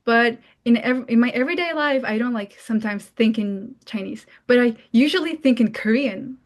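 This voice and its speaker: A woman's voice: fairly high pitch, normal speed. A young woman from Mongolia speaking casually as a guest, in informal English peppered with "like". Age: young adult. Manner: informal, frequent "like". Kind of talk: casual question-and-answer talk in English.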